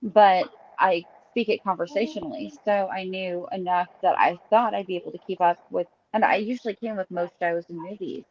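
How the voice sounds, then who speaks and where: Female podcast co-host, slightly low voice, chatty, lo-fi sound. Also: steady tone, a little fast, hardly any pauses, slight background noise. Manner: chatty, laughs easily, suggests ideas. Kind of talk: casual podcast chat. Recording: dull lo-fi mic.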